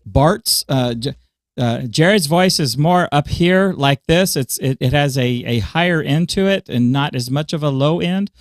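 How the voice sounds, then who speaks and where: A man's voice: medium pitch, no echo, clean studio sound. A man co-hosting a casual podcast chat about church media, explaining sound setups through everyday church examples.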